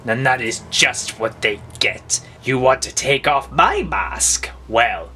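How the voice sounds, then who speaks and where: A man's voice: mid-range voice, expressive, slow, bright, forceful tone, a little hiss or hum. A man voicing a vengeful supervillain goblin in a taunting, theatrical way. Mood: taunting, menacing.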